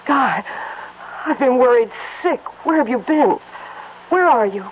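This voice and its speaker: Panicky wife in an old radio play, high voice, slow and pleading, tinny sound. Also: female voice, animated, a little hiss or hum. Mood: worried. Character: frantic worried wife on the phone. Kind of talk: 1970s radio drama acting.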